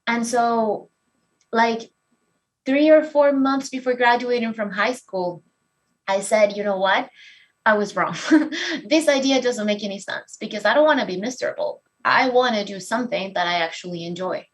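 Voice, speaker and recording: A woman's voice: fairly high pitch, moderate pace. Woman telling her own story. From Venezuela. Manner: candid and expressive, self-deprecating. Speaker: speaker giving a talk. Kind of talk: personal story talk.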